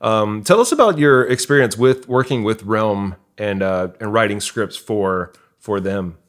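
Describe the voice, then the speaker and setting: A man hosting a podcast, chatting casually and agreeably, feeling his way through questions in a halting manner. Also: lowish voice, lively intonation. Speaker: podcast host, audio producer. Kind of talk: casual interview chat.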